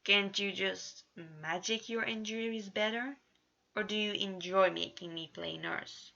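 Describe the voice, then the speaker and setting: A guarded, scowling storybook man, read by a woman, speaks with sardonic bite in a bright voice. His delivery is muttered and dry, on a slightly dull recording. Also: mid-range voice, measured pace, gravelly. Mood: scolding. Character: scowling, sardonic, guarded male companion of a prince.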